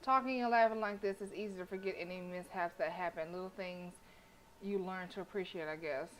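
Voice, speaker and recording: A woman's voice: on the low side, fairly even intonation, a little fast, slight background noise, rough recording. A woman streaming a game, reading story text aloud with blunt, sassy, casual asides. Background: game music.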